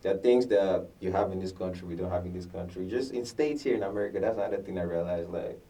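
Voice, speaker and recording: Deep-voiced man, chatty. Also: quick pace, distant mic. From Nigeria. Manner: relaxed and chatty, laughs often. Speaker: musician guest. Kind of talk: casual interview.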